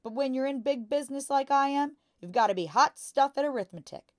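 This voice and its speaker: A woman voicing a boastful, bullying father who deals in crooked cars, in a loud, hectoring manner for a storybook. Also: high-pitched, animated, brisk, dry recording, studio quality, pitched above the performer's own voice.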